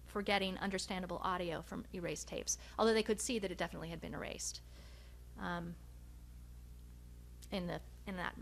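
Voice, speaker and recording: A woman researcher giving a research presentation, speaking in a measured, hesitant way with frequent pauses. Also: medium pitch, vocal fry, fairly bright tone. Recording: noticeable background hum and noise.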